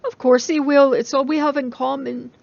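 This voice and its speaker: A woman's voice: high-pitched, fairly even intonation, normal speed, gravelly, a little mellow, no echo, dull audio, pitched above the performer's own voice. A woman voicing a troubled, defensive young female student in a story reading, awkward, hesitant and self-conscious. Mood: anxious. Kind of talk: dramatic audiobook reading.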